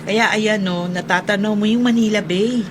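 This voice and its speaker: A woman playing an honest, caring mother, gentle and solicitous, in a natural radio drama. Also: medium pitch, fairly even intonation, normal speed, close to an everyday voice. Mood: gentle, attentive. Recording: clear vehicle noise behind voice. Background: vehicle ambience.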